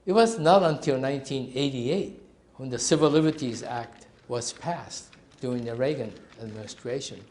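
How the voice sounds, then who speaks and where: A man's voice: mid-range voice, moderate pace, darkish tone. Earnest man in his seventies, echoey room. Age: 70s. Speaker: interviewee. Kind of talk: documentary interview.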